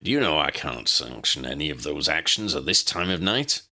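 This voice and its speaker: A man voicing a gruff but fair commandant, authoritative and measured, in an audiobook story. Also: a bass voice, sing-song, pushed and bright, full character voice. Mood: measured, knowing. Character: gruff but fair male commandant, cigar-smoking superior.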